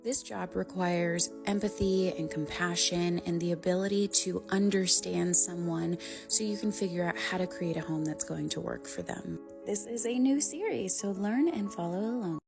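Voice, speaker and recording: A woman's voice: on the low side, normal speed, creaky. A woman who works as a home organizer, narrating a scripted social video. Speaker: professional home organizer and coach. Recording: music behind the voice.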